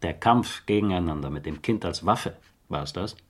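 An actor playing a gruff, sardonic police inspector in a crime radio play, questioning in a dry, brusque way. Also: male voice, sits low, animated, normal speed, no echo. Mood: dry, probing. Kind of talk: German crime radio drama acting.

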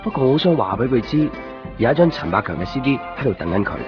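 Actor as wistful Hong Kong shopkeeper narrating over music. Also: male voice, mid-range voice, very animated, quick pace, lo-fi sound, natural voice. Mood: wistful, reflective. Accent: Hong Kong Cantonese. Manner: reflective, understated. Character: wistful male neighbouring copy shop keeper narrating his thoughts. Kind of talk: first-person radio drama narration. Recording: band-limited 1990s radio recording over music.

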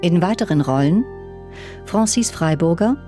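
A man's voice: high-pitched, expressive, normal speed. A man reading scripted credits as the announcer of a radio production. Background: prominent brass music.

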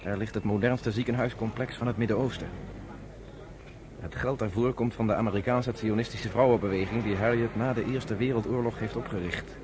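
An actor playing an earnest, self-assured young man of the underground in a Dutch radio play, with a measured way of explaining things. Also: on the low side, fairly even intonation, background noise, lightly acted.

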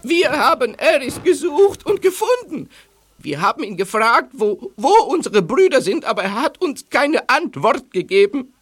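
Earnest young Native man from Mexico played by a woman, high and animated, halting foreign speech, radio play. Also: slightly rough, exaggerated voice. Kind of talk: audio drama acting.

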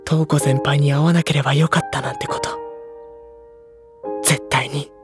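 Audio drama lead: woman voicing an earnest, introspective schoolboy, lowish pitch, soft delivery over music. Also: fairly even intonation, moderate pace, close to an everyday voice. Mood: tearful reflection. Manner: introspective, soft-spoken, sincere. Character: sensitive, earnest teenage schoolboy narrator. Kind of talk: Japanese audio drama acting and narration. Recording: music behind the voice.

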